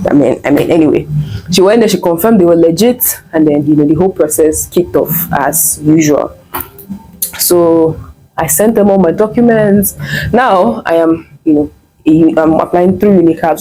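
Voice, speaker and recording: A young woman with a Nigerian accent tells her story straight to camera, chatty and animated, and she plays out the lines of the people in it. Her voice sits a little low and has a soft, mellow tone. She sounds like a vlogger talking casually to her viewers. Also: poor audio. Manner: chatty, animated retelling with quoted dialogue and laughter. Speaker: vlogger and online MBA student.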